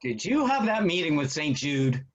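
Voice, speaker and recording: A man's voice: on the high side, big pitch swings, moderate pace, creaky, exaggerated voice. A man acting in a play reading as an older father, skeptical but supportive, with a dry, jocular manner. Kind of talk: virtual stage play reading. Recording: dull, lo-fi call audio.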